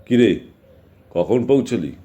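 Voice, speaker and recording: A man playing a middle-aged village father in an audio drama, speaking in a measured, fatherly, authoritative manner. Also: medium pitch, expressive, dark tone.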